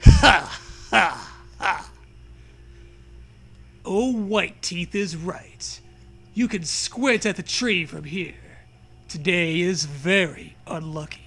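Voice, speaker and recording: Male co-host, comic horror reading, high voice, very slow pace, crude joking asides. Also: fairly bright tone. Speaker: co-host, reader.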